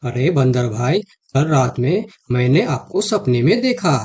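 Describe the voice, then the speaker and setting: A man's voice: mid-range voice, big pitch swings, a little slow, a little mellow. A man voicing a sly, wheedling con-artist jackal in a children's cartoon. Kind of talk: children's cartoon story dialogue.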